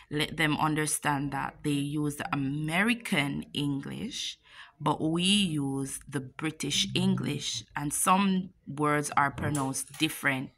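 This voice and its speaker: A young Jamaican woman records a casual story time on her phone in a classroom. Her voice sits low, and her talk is chatty and playful as she slips into quoted bits. The Jamaican accent is clear all through. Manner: chatty, animated retelling with playful asides. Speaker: teacher and vlogger. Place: classroom, indoors. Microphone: phone camera at arm's length.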